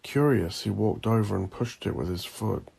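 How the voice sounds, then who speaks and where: A man narrating dramatic horror fiction as the host of an audio drama, in an ominous, theatrical and deliberate manner. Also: fairly low pitch, gravelly, darkish tone, slightly rough.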